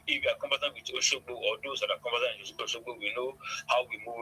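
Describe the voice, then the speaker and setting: A man's voice: medium pitch, pushed and bright, a little hiss or hum, low-quality recording. A Nigerian-accented man answering as a police spokesman in a radio interview, often hesitating and repeating his phrases. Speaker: police public relations officer, radio guest.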